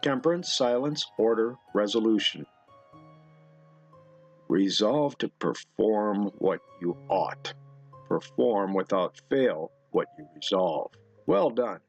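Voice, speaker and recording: Middle aged guy rambling off the cuff to himself, slow and halting, lots of vocal fry. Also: fairly low pitch, expressive, highs cut off, faint music behind. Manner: musing, halting, talks to himself. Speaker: video host. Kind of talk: rambling off-the-cuff commentary on pictures. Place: indoor room at a computer.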